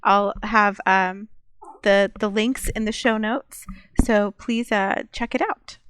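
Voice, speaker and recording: A woman's voice: mid-range voice, fairly even intonation, slow pace, fairly bright tone, clear, resonant tone, no room sound, studio quality. A woman casually hosting a podcast conversation. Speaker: podcast host.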